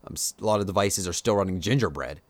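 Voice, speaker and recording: A man with a Pennsylvania Dutch accent talks to his viewers in a low-pitched voice, rambling loosely with plenty of "um"s and "like"s. He shares strong opinions in a relaxed, off-the-cuff way. The sound is close and dry, with no room around it. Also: fast, no echo. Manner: rambling, filler-heavy, opinionated. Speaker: YouTuber. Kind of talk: casual live-stream commentary.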